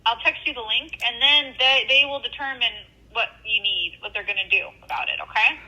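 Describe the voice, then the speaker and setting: A woman working a helpline, speaking gently and hesitantly with many fillers on a phone call. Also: fairly high pitch, a little fast. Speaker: helpline worker.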